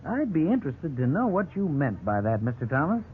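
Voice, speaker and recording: A narrow, lightly noisy vintage radio recording of a formal lawyer. His pitch moves expressively as he speaks with dry courtesy and stern authority. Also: sing-song. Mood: patient. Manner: measured, formal, faintly condescending. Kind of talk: 1950s radio drama acting. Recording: old noisy 1950s radio, narrow band.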